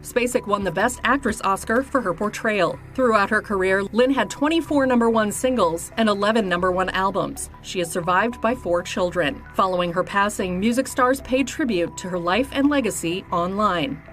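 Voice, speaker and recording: A woman's voice: medium pitch, normal speed, hardly any pauses, fairly bright tone. A woman reading scripted entertainment news as a presenter. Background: music behind the narration.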